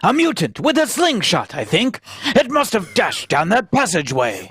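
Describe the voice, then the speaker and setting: A woman voicing an earnest young male cadet with a husky, creaky voice in a hammy radio play. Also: mid-range voice, huge pitch swings, normal speed, no room sound, full character voice. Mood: calm, wry.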